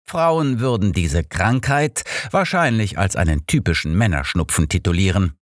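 A man's voice: deep voice, expressive, normal speed, dry recording, clean studio sound. A man voicing an old detective, amused and tactless, with a wry delivery in an audiobook. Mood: amused, mocking.

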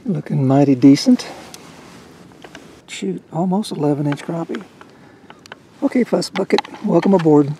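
A woman's voice: deep voice, expressive, measured pace, pauses often, mellow, a little hiss or hum, low-quality recording. A woman casually commenting on her fishing from a small boat on a lake, chatting folksily to the fish she catches, recorded on a boat-mounted camera. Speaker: fishing vlogger.

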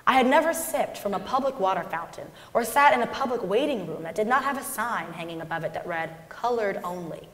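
Expressive young woman reading, echoing hall. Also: a little high. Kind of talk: dramatic reading of a memoir. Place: large indoor concert hall stage. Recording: echoey concert hall stage recording.

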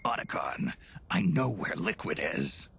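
An actor playing a gruff, weary aging soldier hero in a video game, speaking in terse, deadpan, gravelly hushed lines. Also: male voice, low voice, unhurried, noisy background, heavily acted. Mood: businesslike. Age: older. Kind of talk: video game cutscene acting. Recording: loud music behind.

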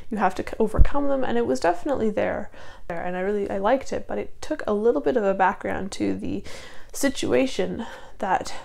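Young woman, casual book vlogger, very gravelly from a cold, rambling delivery. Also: medium pitch, a little fast, darkish tone. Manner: rambling, many ums and restarts. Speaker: book reviewer. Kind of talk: casual book review vlog. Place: small room in front of bookshelves.

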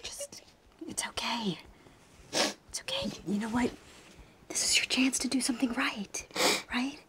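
An actress playing a tense, bossy, pragmatic woman in hiding, speaking urgently and hushed in a comedic audio drama. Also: high-pitched, lively intonation, fast talker, heavy background noise. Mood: coaxing. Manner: urgent, hushed, foul-mouthed.

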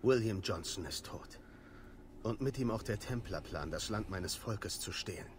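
An actor playing a resolute young warrior in a German video game dub, speaking in a serious, earnest way. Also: male voice, lowish voice, expressive, normal speed, noisy background, natural voice. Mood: grave. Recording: game audio with music behind.

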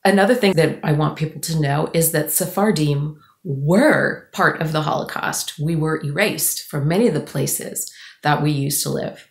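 A woman with a fairly low voice speaks as a podcast guest. She tells stories expressively, placing deliberate pauses for emphasis. The room adds clear echo around her voice. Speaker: guest author. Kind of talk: conversational storytelling in a podcast interview. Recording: roomy, echoing podcast audio.

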